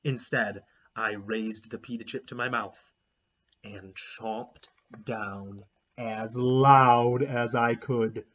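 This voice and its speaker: A man, a teacher, reading a children's chapter book aloud with expressive, animated storytelling. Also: mid-range voice, slow. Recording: dull lo-fi recording.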